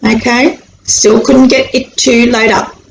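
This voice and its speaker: A woman with an Australian accent, born in Sri Lanka, explaining anxiety and the brain in a chatty, animated way, acting out worried inner voices. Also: a little high, takes it slowly, creaky. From born in Sri Lanka, raised in Australia. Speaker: anxiety educator on video. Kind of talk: casual explanatory talk to camera. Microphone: camera mic. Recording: echoey room with faint hiss.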